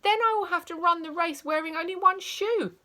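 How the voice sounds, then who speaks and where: Woman playing a timid schoolkid, high and whiny, pleading, bright. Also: fairly quick.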